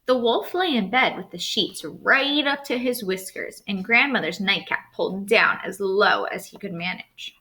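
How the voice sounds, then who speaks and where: A woman narrating a children's storybook aloud as a storyteller, expressive and dramatic, stressing key words. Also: mid-range voice, normal speed, few pauses.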